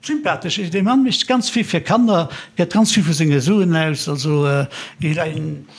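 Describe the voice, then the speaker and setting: Creaky-voiced older Luxembourgish man, rambling. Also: fairly high pitch, normal speed. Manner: rambling, mixes French words into talk. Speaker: interview guest. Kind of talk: casual reminiscing conversation.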